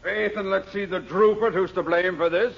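A blustery Irish policeman in an old radio comedy. His voice is high for a man and creaky, with an accusing brogue. The muffled sound is that of a vintage 1940s broadcast. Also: fairly even intonation, moderate pace, heavily acted. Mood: scolding, blustery. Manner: accusing, folksy brogue phrasing.

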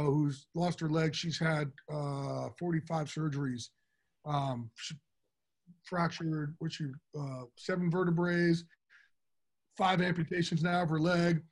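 A middle-aged man with a big, enthusiastic voice, pitched a bit higher than most men. He answers interview questions in a candid, from-the-heart way. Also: fairly even intonation, measured pace. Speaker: interview guest. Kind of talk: casual interview talk.

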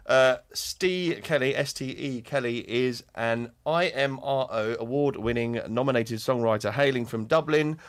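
A man's voice: mid-range voice, a little slow, hardly any pauses. A man co-hosting a podcast in casual chat, rambling and correcting himself as he reads out a guest bio. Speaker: podcast co-host.

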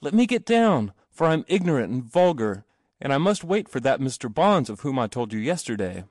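A man voicing an eager, earnest young boy in a storybook reading, exclamatory and excitable. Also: mid-range voice, a little fast, no room sound, studio quality. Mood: humble, pleading.

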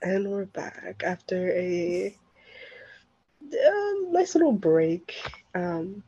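A young woman co-hosting a podcast in casual chat, sounding tired and relaxed, recorded without her usual microphone. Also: fairly low pitch, drawn-out words, breathy edge. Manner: tired, relaxed, lots of fillers. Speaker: podcast co-host. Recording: dull lo-fi mic sound.

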